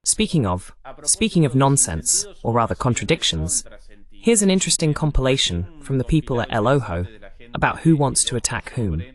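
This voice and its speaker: Male talk host with lively intonation. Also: mid-range voice, normal speed. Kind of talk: translated voice-over of live political talk.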